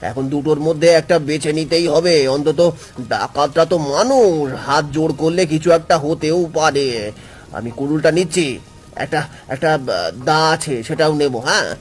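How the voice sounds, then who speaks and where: A man as an earnest, high-voiced woodcutter father. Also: normal speed, lightly acted. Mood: urgent. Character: poor, determined woodcutter father protecting his family. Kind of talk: cartoon voice acting.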